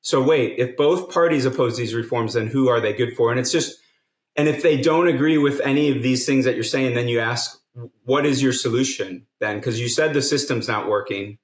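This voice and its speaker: A man's voice: mid-range voice, moderate pace. A man, a guest on a podcast interview, explaining things earnestly and step by step. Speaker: guest, nonprofit co-founder. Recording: roomy echo.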